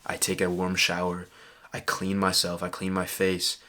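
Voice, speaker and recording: A young man talking straight to camera about fitness, earnest and emphatic, leaning on "bro" and "literally." His voice sits low and stays fairly level in pitch, with a bright, forward edge. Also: monotone, normal speed. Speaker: fitness vlogger. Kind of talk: casual motivational talk to camera.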